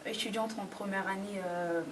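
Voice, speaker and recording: A woman's voice: mid-range voice, steady tone, moderate pace, background noise. A young woman from Mali, a student introducing herself in an interview. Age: young adult. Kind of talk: brief self-introduction. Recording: distant mic, crowd chatter behind.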